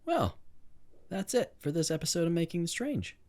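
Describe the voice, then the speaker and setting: A man's voice: fairly high pitch, very animated, moderate pace, darkish tone, no echo, clean studio sound. A man co-hosting a podcast in a casual, playful style. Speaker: podcast co-host.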